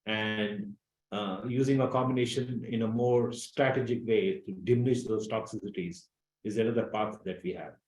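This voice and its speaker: Scientist man, lowish voice, careful technical answer, echoey room. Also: steady tone, moderate pace, a little mellow. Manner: careful, technical explanation with uhs. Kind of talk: earnings call Q&A.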